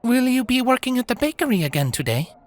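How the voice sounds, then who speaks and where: Man doing a soft-spoken young woman traveler. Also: very high voice, very animated, quick pace, pitched above the performer's own voice. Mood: gentle. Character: polite, quietly mischievous young woman traveler. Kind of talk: storybook character voice.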